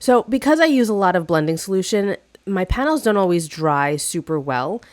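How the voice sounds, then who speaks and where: A woman narrating a casual craft tutorial, chatty and friendly with fillers and restarts, slightly hoarse from a cold. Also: mid-range voice, big pitch swings, moderate pace, a little mellow, no room sound. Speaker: craft video host.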